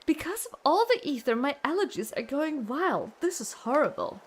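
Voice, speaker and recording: A woman's voice: high-pitched, sing-song, moderate pace, a little hiss or hum, pitched above the performer's own voice. A woman voicing a miserable, complaining adventurer plagued by allergies in theatrical fantasy game dialogue. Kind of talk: dramatic game dialogue read aloud. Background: music.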